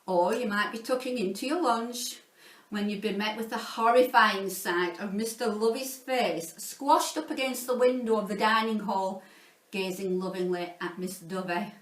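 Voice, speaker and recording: A woman reading a children's story aloud in an expressive, playful, theatrical way, like a teacher narrating to pupils. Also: medium pitch, moderate pace. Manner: theatrical, playful, dramatizing sound words. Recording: roomy echo, distant from the mic.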